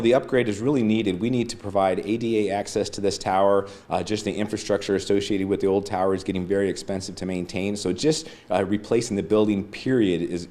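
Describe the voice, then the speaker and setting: A man's voice: low-pitched, mellow. A man speaking as an airport official, giving explanatory answers in an interview.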